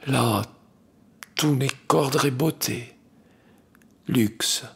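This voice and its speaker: Very husky, slow man reciting poetry. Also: mid-range voice. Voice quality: husky, breathy, gravelly. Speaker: poetry reader. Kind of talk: dramatic recitation of classic French poetry.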